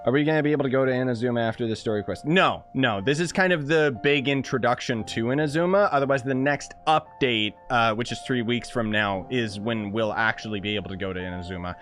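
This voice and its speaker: A young man streams a video game with casual, reactive commentary. His voice is mid-pitched and he jokes as he goes, with game music playing behind him. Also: quick pace, few pauses, fairly bright tone. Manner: joking, reactive exclamations. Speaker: gaming streamer.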